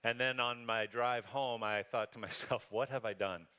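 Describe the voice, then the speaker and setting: Man, guest preacher, grew up in western canada, bright voice, jokey, laughs a lot. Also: mid-range voice, steady tone, moderate pace, clean tone. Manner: self-deprecating, laughs often. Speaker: guest speaker. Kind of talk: conversational sermon with humor.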